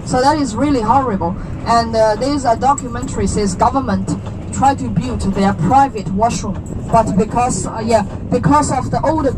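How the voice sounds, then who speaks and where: A woman in her thirties from China, with a Chinese accent, explaining things to visitors on a walking tour in a chatty way, asking questions and joking. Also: fairly high pitch. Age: 30s. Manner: chatty and explanatory, asks listeners questions, jokes. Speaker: tour guide. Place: outdoors on a city street. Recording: traffic noise from the street.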